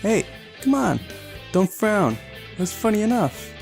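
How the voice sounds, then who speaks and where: A man acts out a cheeky visual novel girl, pitched far up and bouncy. She teases and bosses people around, with light music playing behind her. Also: big pitch swings, unhurried, vocal fry, darkish tone, heavily acted. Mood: playful. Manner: high-pitched, bouncy, teasing. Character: playful, teasing, bossy girl in a visual novel.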